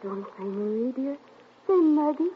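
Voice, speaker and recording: An actress playing a dreamy, unsettled young wife in 1940s radio acting, soft, airy and sing-song. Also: female voice, high voice, animated, unhurried, phone-quality sound. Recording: scratchy 1940s radio broadcast.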